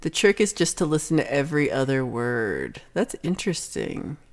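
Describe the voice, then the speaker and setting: A woman's voice: sits low, very animated, moderate pace, a little mellow. Chatty Texan woman hosting a livestream. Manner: chatty, rambling, reads out viewer comments. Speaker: livestream host reading chat. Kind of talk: casual chat.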